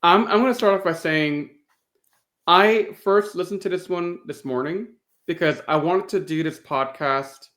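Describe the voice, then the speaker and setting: Male podcast co-host, moderately high pitch, informal conversational review delivery. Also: unhurried, fairly bright tone. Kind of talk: casual chat, giving review thoughts.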